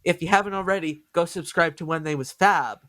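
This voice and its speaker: A man hosting a podcast in a casual chat, with a nasal voice, excitable and stumbling over his words. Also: on the high side, a little fast, dry recording. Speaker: podcast host.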